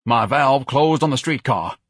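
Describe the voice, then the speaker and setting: A man's voice: medium pitch, animated, unhurried, husky, no echo, clean studio sound, pitched above the performer's own voice. A man voicing a haughty, self-pitying grown son who declaims in grandiose, over-articulate phrases, as an audiobook character. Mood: aggrieved, haughty.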